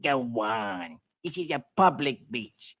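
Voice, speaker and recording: Man putting on a cocky, lively cartoon duck. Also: medium pitch, slow pace, dull audio, voice pushed down, exaggerated voice. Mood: defiant. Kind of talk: 1940s radio comic-strip acting. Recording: scratchy vintage radio recording.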